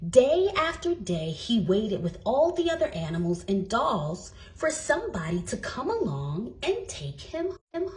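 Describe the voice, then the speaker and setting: A woman reads a children's story aloud in a high, very animated voice. She takes her time and adds dramatic emphasis for small listeners. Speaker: storybook reader. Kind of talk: expressive read-aloud for toddlers.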